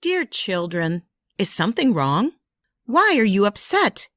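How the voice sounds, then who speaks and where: A woman voicing a kindly, patient cartoon grandmother who speaks in a gentle, caring, measured manner. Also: mid-range voice, big pitch swings, over loud music. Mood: concerned, caring.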